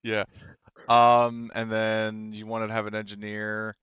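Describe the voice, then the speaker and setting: A man's voice: fairly low pitch, completely flat delivery, measured pace, fairly bright tone, clear, resonant tone, dry recording, highs cut off. A man running a tabletop game as game master, narrating casually and often restarting his sentences.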